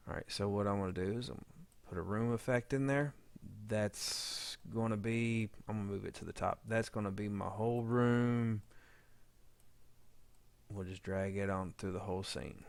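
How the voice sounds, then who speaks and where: Low, gravelly man thinking aloud. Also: no room sound. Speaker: audio drama editor giving a demo. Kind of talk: casual unscripted screen-recorded tutorial.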